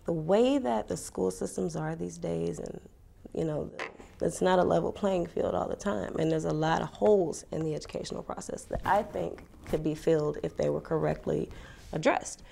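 A woman's voice: on the low side, normal speed, gravelly, warm tone. A woman in her forties, a homeschooling parent, speaking emphatically in an interview.

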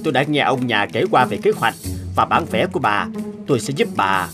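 A man voicing a helpful, plain-spoken mechanic in a cartoon, calm and polite. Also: mid-range voice, fairly quick, lightly acted. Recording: music under the voice.

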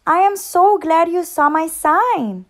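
A woman voicing an excitable, bossy little female bird teacher in a children's storybook, chirpy and insistent. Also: very high voice, takes it slowly, pitched above the performer's own voice, full character voice. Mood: eager, insistent.

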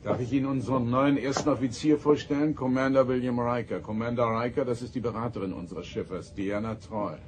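An actor playing an authoritative, probing starship captain in a sci-fi audio drama, formal, measured and commanding. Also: male voice, mid-range voice, fairly even intonation, slight background noise, lightly acted. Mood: curious, composed.

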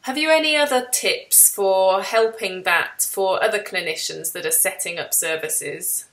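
A woman with a bright, crisp-edged voice of average pitch conducts an interview over a video call. Her questions come in halting phrases, with an encouraging, conversational manner. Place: indoor room, video call. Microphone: computer on video call.

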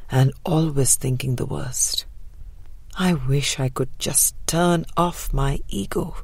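A woman reading a spiritual audiobook in a breathy voice that trails into whispery phrase endings, with a measured, gentle, meditative delivery. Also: low voice, dry recording. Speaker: audiobook reader. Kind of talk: scripted spiritual audiobook narration.

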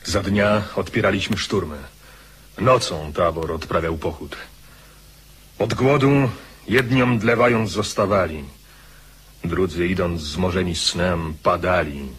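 An actor playing a grave soldier chronicler, recounting a hard retreat in a dramatised radio narration. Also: male voice, low voice, measured pace, fairly bright tone, breathy edge, heavily acted.

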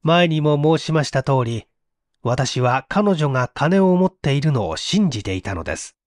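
A man's voice: fairly high pitch, sing-song, moderate pace, no echo, clean studio sound. A man voicing a remorseful condemned young man giving a solemn, formal confession in an audio storybook. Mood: grave confessing. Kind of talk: dramatic monologue in audio storybook.

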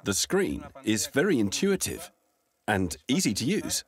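A man's voice: mid-range voice, big pitch swings, measured pace. A man in his thirties with a Spanish accent, a farmer giving an earnest customer testimonial in a tractor showroom. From Spain.

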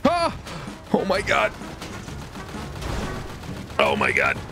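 A man hosting a gaming video, giving excitable roleplay gameplay commentary, talking to himself, swearing and breaking into shouts. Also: a bass voice, drawn-out words, music in the background. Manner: excitable, swearing, shouting outbursts, self-talk.

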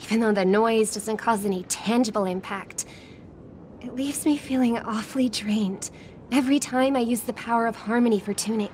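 A woman playing a gentle, polite young singer in a video game, speaking softly and with measured care. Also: a little high, a little hiss or hum, natural voice. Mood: thoughtful, concerned. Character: gentle young female pop singer, caring, polite, thoughtful. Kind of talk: video game story acting.

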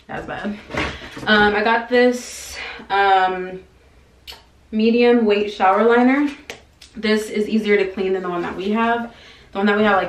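A young adult American woman talks in a casual, informal vlogging style, as if thinking out loud. Her medium-pitched voice bounces around a small tiled bathroom. Clear noise of clicks and clatter sits behind her as the camera picks her up. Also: roomy sound. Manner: informal, thinking aloud while she works. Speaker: lifestyle vlogger. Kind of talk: casual chatty vlog talk. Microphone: camera mic at arm's length or propped nearby.

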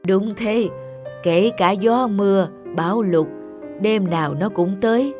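A woman playing a kindly, compassionate elderly grandmother in an animated tale, gentle and caring. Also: mid-range voice, takes it slowly, over music, lightly acted. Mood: gentle, explaining. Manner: gentle, caring, musing aloud. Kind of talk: animated moral tale dubbing.

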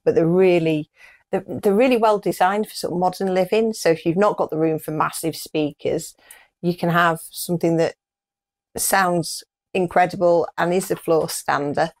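A woman's voice: on the low side, normal speed. A middle-aged woman with a British accent, chatting casually as the co-host of a show. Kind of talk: casual chat. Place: small wood-panelled room, indoors.

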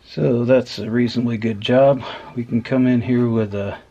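A man casually talking through a hands-on repair as host, unhurried and dryly humorous. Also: medium pitch, fairly even intonation, muffled recording. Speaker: host of an electronics repair video. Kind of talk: casual hands-on demonstration commentary. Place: indoor workbench. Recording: clear background noise.

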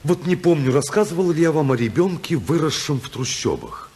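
A man's voice: on the high side, big pitch swings, fairly quick. An earnest, swooping, idealistic congressman. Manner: earnest, oratorical, swinging from hushed to impassioned. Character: idealistic, principled congressman torn by love. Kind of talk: 1950s Soviet radio drama acting.

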